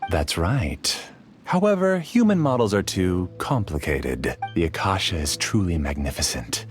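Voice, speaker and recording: Scheming researcher: deep, lively, courteous and theatrical; faint game score behind. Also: male voice, unhurried, faint music behind. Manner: courteous, theatrical, laughs softly. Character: scheming male researcher, polite, smooth-talking. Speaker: husband, researcher. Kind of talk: video game voice acting.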